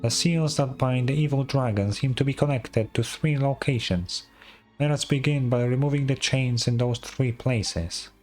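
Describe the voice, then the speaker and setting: A man voicing a calm, formal guide character in a fantasy video game. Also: mid-range voice, moderate pace, faint music behind, pitched above the performer's own voice, natural voice. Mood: calm, purposeful.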